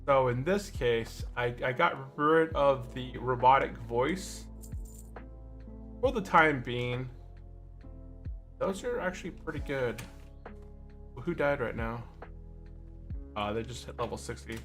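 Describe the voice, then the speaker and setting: A man's voice: medium pitch, lively intonation, takes it slowly, pauses often, fairly bright tone, soft background music. A man chatting casually on a gaming livestream, rambling and thinking aloud as he plays. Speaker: livestream host, gamer.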